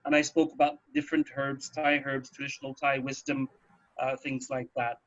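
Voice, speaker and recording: A man's voice: mid-range voice, level tone, moderate pace, fairly bright tone. A man co-hosting a radio show from home in a casual, chatty, explanatory way, often checking in with a "right" or "yeah". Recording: dull, lo-fi home recording.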